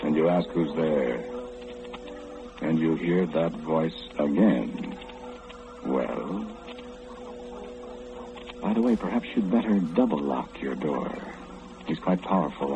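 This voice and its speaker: An actor playing an eerie, all-knowing mind reader in old radio horror, speaking slyly and teasingly, straight to the listener. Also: male voice, extremely low pitch, takes it slowly, long pauses, full character voice. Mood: ominous. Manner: sly, insinuating, theatrical, speaking confidingly to the listener. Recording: muffled, noisy old radio broadcast.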